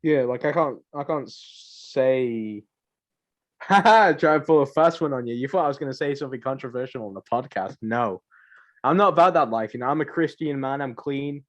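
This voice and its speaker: A man's voice: medium pitch, expressive, normal speed, highs cut off. Rambling, joking young man on a podcast. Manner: joking, rambling, slangy, repeats himself. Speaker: podcast co-host. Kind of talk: casual banter.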